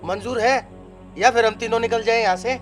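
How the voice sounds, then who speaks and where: A gentle old father and family elder, played by a man, soothing and forgiving in a web drama. His voice sits high for a man. Soft music plays under the scene. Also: fairly quick, natural voice. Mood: firm. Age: older.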